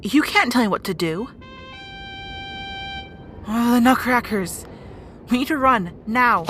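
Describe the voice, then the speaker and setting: A woman's voice: on the high side, lively intonation, takes it slowly, fairly bright tone, soft background music. A woman playing a young rat princess warrior in an audio drama, earnest and defiant. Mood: defiant, urgent.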